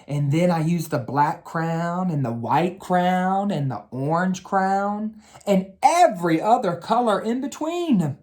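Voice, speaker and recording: A man's voice: sits high, expressive, slow pace, darkish tone. Man reading a bedtime story to children. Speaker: educator reading aloud to children. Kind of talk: bedtime storybook read-aloud and talk to children.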